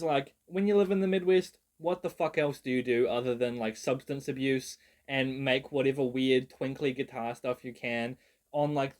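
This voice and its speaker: A young New man talks through a music genre in a loose, rambling vlog style. He mocks himself, swears freely and leans on "like" as a filler. His pitch sits right in the middle for a man. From New Zealand. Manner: rambling, self-mocking, swears, many "like" fillers. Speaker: video creator. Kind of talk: casual genre breakdown.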